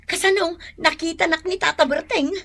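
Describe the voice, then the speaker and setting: An actress playing an excitable village neighbour who exclaims animatedly in a radio drama. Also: female voice, very high voice, normal speed, exaggerated voice. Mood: flustered. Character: excitable village neighbour woman caught pilfering vegetables. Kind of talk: Filipino radio drama acting.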